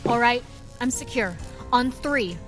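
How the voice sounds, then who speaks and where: An actress playing a practical, caring masked woman vigilante in an audio drama, measured and lightly wry. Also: fairly high pitch, expressive, soft background music. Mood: practical, concerned.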